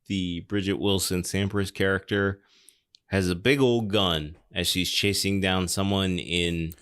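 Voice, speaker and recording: Slow-talking man with a lowish voice. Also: fairly even intonation, fairly bright tone, clean tone. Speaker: podcast host. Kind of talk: casual film discussion.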